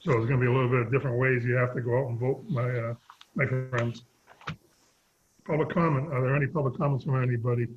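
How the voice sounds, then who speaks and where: A man with a fading, husky voice chairs a town sewer commission meeting over a video call, stumbling through a scripted opening. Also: mid-range voice, fairly even intonation, quick pace, slight background noise. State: losing his voice. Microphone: remote video call. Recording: dull lo-fi call audio.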